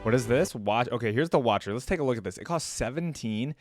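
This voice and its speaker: A man giving casual reaction commentary as a video host, informal and rambling as he thinks out loud. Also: medium pitch, expressive, fast, dry recording, clean studio sound, faint music behind.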